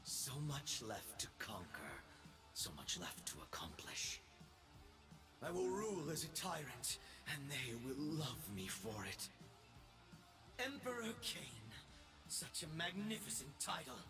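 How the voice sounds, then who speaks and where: A megalomaniac young emperor from a video game, gloats in a theatrical, high voice that leaps wildly. He is slow and imperious, dropping from shouts to hushed menace, with music behind him. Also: wildly swooping pitch, far from the mic, exaggerated voice. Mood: grandiose, gloating. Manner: grandiose, arrogant, swinging between shouts and hushed menace. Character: megalomaniac young self-crowned space emperor, gloating and unhinged.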